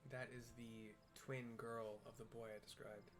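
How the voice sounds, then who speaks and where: A man talks through what he sees on screen in an easygoing, halting way, full of ums and restarts. His voice sits in a middle pitch. Soft game music runs faintly behind him. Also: little pitch movement. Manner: casual, with frequent ums and restarts. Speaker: audio describer and narrator. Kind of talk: casual audio description over gameplay.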